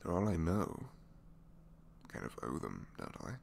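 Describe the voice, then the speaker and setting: A man's voice: very deep voice, sing-song, unhurried, vocal fry, a little mellow, slight background noise, exaggerated voice. A man playing a reluctant, secretly kind supervillain inventor in an ASMR roleplay, hesitant, wry and self-deprecating, rambling and sometimes dropping toward a whisper. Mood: resigned, unsure. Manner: hesitant, wry, rambling, trailing tag questions, sighs, drops to whispers. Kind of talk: ASMR roleplay audio drama, one-sided conversation.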